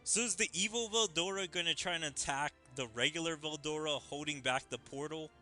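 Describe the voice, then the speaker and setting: A man giving commentary on a game story in an animated way with drawn-out phrasing. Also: a little high, faint music behind. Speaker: gaming content creator. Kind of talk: game story commentary and dialogue read-aloud.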